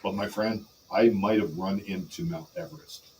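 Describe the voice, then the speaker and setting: Guy doing big tough wrestler callout, low dramatic voice, halting, off the mic a bit. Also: expressive, a little mellow, recorded from a distance, a little hiss or hum, close to an everyday voice, rough recording. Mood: menacing, challenging. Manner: dramatic, halting, calls opponent brother. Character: big menacing pro wrestler cutting a challenge promo.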